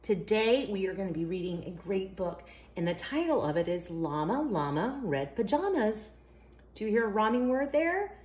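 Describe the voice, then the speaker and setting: A woman's voice: medium pitch, very animated, normal speed, darkish tone. A woman hosting a children's storytime, reading a picture book aloud expressively in a sing-song, question-asking way. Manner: sing-song, asks the young listeners questions.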